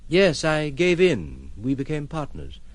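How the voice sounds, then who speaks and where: An actor in an audio drama playing a detached, world-weary gentleman who speaks in eloquent, formal, ironic phrases. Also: on the high side, huge pitch swings, measured pace. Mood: reflective. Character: wry, detached gentleman recluse, playfully philosophical and world-weary.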